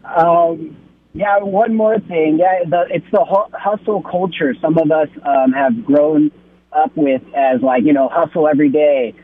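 A man in his thirties calling into a radio show, earnest and blunt, getting animated as he makes his point. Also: a little high, measured pace, breathy edge. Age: 30s. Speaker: caller. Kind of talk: call-in opinion. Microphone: telephone. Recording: tinny phone line.

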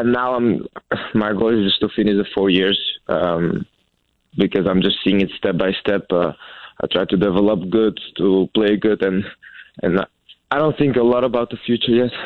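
Young belgian guy, college tennis player, super low voice, lots of ums, tinny phone sound. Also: steady tone, no echo. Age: young adult. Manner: halting, many fillers and restarts. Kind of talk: interview answers.

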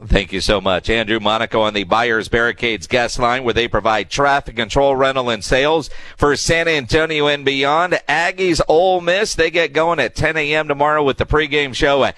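A man's voice: mid-range voice, steady tone, normal speed, hardly any pauses, fairly bright tone. A man hosting sports radio in an easygoing, conversational way. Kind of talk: casual radio interview and sponsor reads.

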